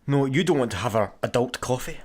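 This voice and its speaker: An actor with a Scottish accent playing a grumpy, sarcastic space busker in a radio sitcom. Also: male voice, medium pitch, quick pace, slightly rough. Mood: irritable. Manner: irritable, deadpan sarcasm.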